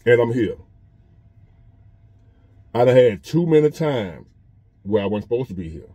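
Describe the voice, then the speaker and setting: A man in his fifties with a drawl speaks earnestly from inside a parked truck, going over the same points again and again in a plain, conversational way. His voice is dark and mellow, and the sound is dry and close, with no echo. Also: medium pitch, sing-song, measured pace, lo-fi sound. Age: 50s. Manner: earnest, repetitive, conversational preaching. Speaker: vlogger. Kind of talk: casual motivational monologue.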